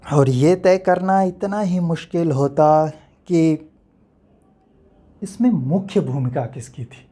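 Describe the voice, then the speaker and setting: An actor performs an enigmatic man in a stage monologue, mid-pitched for a male or a little above. He is philosophical and unsure of himself, putting rhetorical questions to the audience. His delivery is reflective, with deliberate pauses. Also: warm tone. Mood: brooding, questioning.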